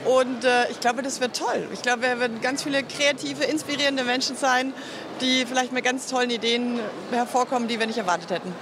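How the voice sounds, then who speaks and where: A woman's voice: fairly high pitch, steady tone, a little fast, background noise. A woman in her thirties answering interview questions at a convention venue into a reporter's handheld mic, upbeat and with many hesitation fillers. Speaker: marketing manager interviewee. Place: busy indoor convention venue. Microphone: reporter's handheld microphone.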